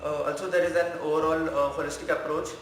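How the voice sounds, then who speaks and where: A man's voice: fairly high pitch, flat delivery, fast. A man in his twenties with an Indian accent, giving a student testimonial. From Maharashtra, India. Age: 20s. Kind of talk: interview testimonial. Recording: very echoey room, faint music.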